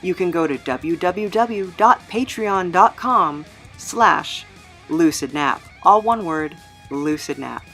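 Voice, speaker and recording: A woman's voice: lowish voice, slow, fairly bright tone, soft background music. A woman hosting a podcast, talking casually as an interviewer. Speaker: podcast host.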